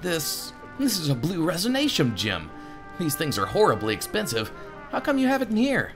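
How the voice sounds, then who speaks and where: A man voicing an earnest, excitable young male adventurer in game dialogue, inquisitive and dramatic. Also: on the high side, normal speed, music in the background, pitched above the performer's own voice. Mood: astonished. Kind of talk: game dialogue read aloud.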